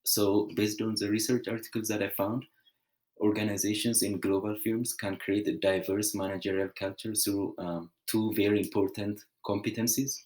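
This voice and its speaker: A young man from Ethiopia, giving an earnest, measured prepared presentation with small restarts. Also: fairly low pitch, level tone, darkish tone, poor audio.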